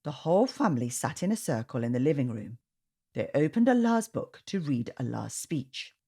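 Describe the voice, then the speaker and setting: A woman reading a storybook aloud to children in an expressive, sing-song way. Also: extremely low pitch, moderate pace, husky. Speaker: storytime reader. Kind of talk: children's read-aloud narration.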